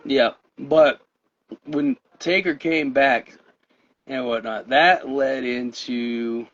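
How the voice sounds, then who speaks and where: A man's voice: mid-range voice, slow pace. A tired man with a voice wearing out, rambling through a casual chat show as co-host. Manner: rambling, tired, laughs often. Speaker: podcast co-host. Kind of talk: casual chat about wrestling. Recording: dull lo-fi sound.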